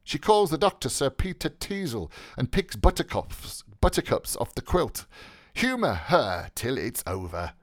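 A man's voice: mid-range voice, big pitch swings, unhurried, no echo, clean studio sound, pitched above the performer's own voice. A man voicing a brash, mocking young medical student in an audiobook, theatrical and flippant, with exclamatory, declamatory delivery. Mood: mocking, bold. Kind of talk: literary audiobook character voice.

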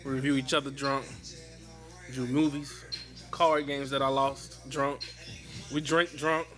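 A man hosting a casual podcast among friends, talking loud, joking and swearing in slang. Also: mid-range voice, little pitch movement, a little slow, music in the background. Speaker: podcast host.

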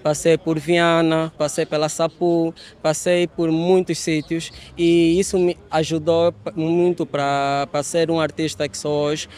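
A man from Angola with a clear, smooth, fairly high voice that stays flat in tone. He introduces himself as a photographer in an easy, relaxed way. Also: a little slow, few pauses. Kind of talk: interview answer.

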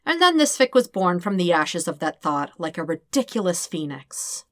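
A woman reading fan fiction aloud as an expressive narrator. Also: fairly low pitch, normal speed, no echo, studio quality. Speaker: podfic reader.

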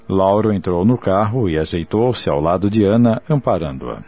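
Brazilian guy narrating a radio soap, lowish voice, music clearly behind him. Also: animated, normal speed, warm tone. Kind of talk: scripted radio drama narration.

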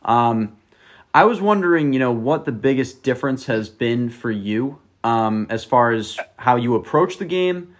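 A man at an ordinary mid pitch asks his interview questions in an easygoing way. He hesitates and fills gaps with small fillers as he goes. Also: animated, unhurried.

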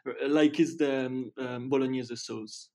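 A man in his twenties with an Italian accent, a guest chatting casually in careful, halting English learned as a second language. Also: medium pitch, fairly even intonation, dark tone. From Italy. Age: 20s. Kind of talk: casual chat.

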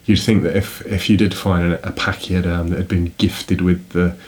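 A man's voice: sits low, little pitch movement, normal speed, a little mellow. A young man co-hosting a casual book podcast, playful and fond of puns, poking fun at himself. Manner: playful, punning, self-deprecating. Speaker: podcast co-host.

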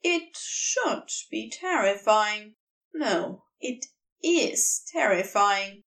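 A woman narrating a story from a script in an expressive storytelling style. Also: mid-range voice, very slow, distant mic. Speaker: podfic narrator.

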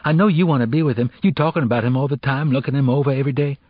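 A man's voice: mid-range voice, racing, no echo, phone-quality sound, voice pushed up, close to an everyday voice. A man voicing an evasive, lovestruck teenage girl in an audiobook, slangy, reluctant and defensive as she confesses. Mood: guilty, pleading.

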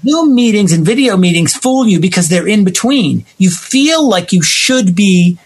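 A man with a husky voice talking casually on a video call, explaining things like a storyteller. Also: high voice, expressive, normal speed. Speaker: theater writer and director being interviewed.

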